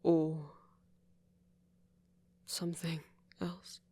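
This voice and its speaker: A man voicing a gentle, self-possessed, flirty vampire in an intimate ASMR audio roleplay, soft, deliberate and very breathy. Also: fairly high pitch, monotone, no room sound, heavily acted. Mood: tender, sincere. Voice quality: whispery, very breathy.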